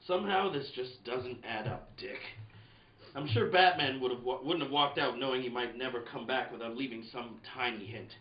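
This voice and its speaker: A man playing an earnest, puzzled investigator in a hammy old-time radio play, with a deliberate, questioning delivery. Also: mid-range voice, natural voice. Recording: roomy, muffled, noisy live recording.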